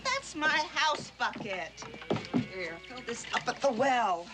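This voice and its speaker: A woman's voice: fairly high pitch, big pitch swings, takes it slowly. An actress playing a protective, reproachful frontier wife and mother, speaking firmly in an old television western. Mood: worried, reproachful. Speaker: actor in a TV western series. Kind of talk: 1960s TV western drama acting. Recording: noisy outdoor ambience on old soundtrack.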